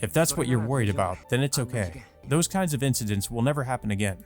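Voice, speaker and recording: A man's voice: mid-range voice, lively intonation, fast talker, darkish tone, lightly acted. A man voicing an earnest, apologetic teenage brother, the protagonist of a visual novel. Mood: reassuring, evasive. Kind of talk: visual novel character read-aloud. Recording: music behind the voice. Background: music.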